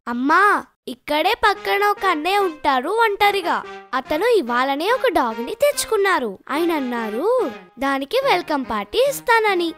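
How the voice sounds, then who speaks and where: A sweet little cartoon girl with a very high, lively voice. She gushes eagerly, with swoops in her pitch, over light children's music. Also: moderate pace. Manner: eager, gushing. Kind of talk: animated children's story dubbing.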